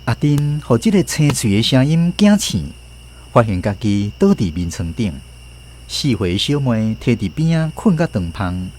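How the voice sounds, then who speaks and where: A man with a Taiwanese accent narrating a scripted radio storybook drama in an expressive storytelling manner. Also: medium pitch, a little slow, a little hiss or hum.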